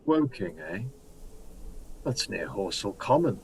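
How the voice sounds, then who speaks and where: A man's voice: on the low side, sing-song, slow, vocal fry, slight background noise, heavily acted. An actor playing a hardened ex-soldier who has survived an invasion, talking in a brooding, intense way as he lays out his plans in a radio drama. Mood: suspicious. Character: hardened male ex-soldier survivor, scheming and grim. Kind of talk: amateur radio drama acting. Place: home room, recorded remotely.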